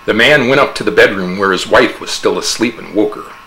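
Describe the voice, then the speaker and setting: A man narrating a humorous fable as a dramatic oral interpretation, with theatrical, measured storytelling. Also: lowish voice, sharp tone, slight background noise, low-quality recording.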